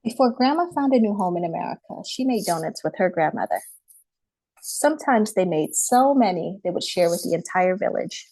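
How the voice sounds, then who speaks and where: A woman's voice: fairly low pitch, expressive, fairly quick, darkish tone, slightly rough, no echo, rough recording. A woman author presenting her picture book and reading it aloud on a video call, speaking politely. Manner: polite, apologetic about screen sharing. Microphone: computer mic on a video call.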